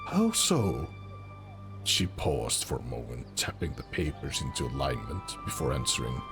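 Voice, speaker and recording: A man narrates a fantasy story slowly and deliberately. His voice is extremely deep with a gravelly rasp. Music plays clearly beneath him. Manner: slow, deliberate, brooding. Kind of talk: dramatic fantasy audiobook narration.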